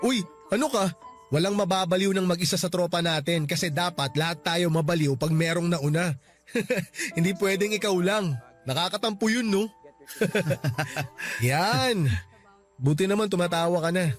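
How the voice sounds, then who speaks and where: A man's voice: high voice, a little slow, pauses often. An actor playing a young man's close friend who comforts him and teases him along, in a Filipino radio drama. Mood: teasing. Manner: gentle, reassuring, teasing. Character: supportive joking male friend of the grieving man. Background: soft music.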